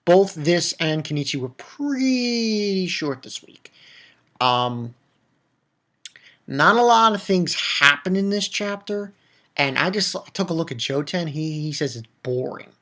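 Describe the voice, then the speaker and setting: A man's voice: on the high side, lively intonation, unhurried, bright, forceful tone. Ranting man in a casual off-the-cuff review. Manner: ranting, emphatic, blunt and profane. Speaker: manga review video host.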